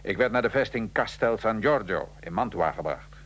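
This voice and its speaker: A man acting in a radio drama as a defiant, wry nineteenth-century Italian revolutionary prisoner, telling his story gravely and with irony. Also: lowish voice, animated, normal speed, fairly bright tone, a little hiss or hum. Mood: grave, wry recounting.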